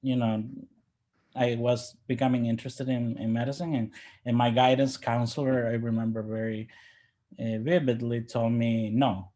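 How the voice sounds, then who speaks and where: A man from Ecuador, a native Spanish speaker, giving personal reflections as an interview guest in a halting, thoughtful way. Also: medium pitch, flat delivery, mellow. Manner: halting, thoughtful, with repeated word starts.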